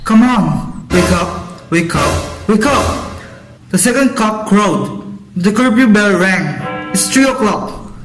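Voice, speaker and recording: Bossy noble father for radio play, male actor, declamatory, slow and high-pitched, in an echoey room. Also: animated, over music. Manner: commanding, declamatory. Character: bossy, impatient lord and father, later grieving. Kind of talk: amateur radio drama acting.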